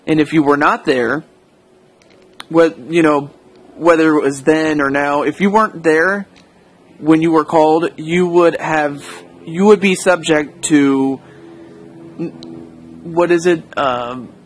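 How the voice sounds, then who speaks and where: A man's voice: fairly high pitch, slow pace, pauses often, soft background music. An American man giving a casual, unscripted audio log, hesitant and rambling, often correcting himself as he goes. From United States.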